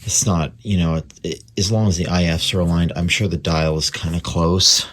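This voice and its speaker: A man's voice: low-pitched, fairly even intonation, normal speed, fairly bright tone, slight background noise. A man narrating a hands-on repair in a casual, unscripted way, thinking aloud with some hesitation. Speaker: radio repair hobbyist presenting his work.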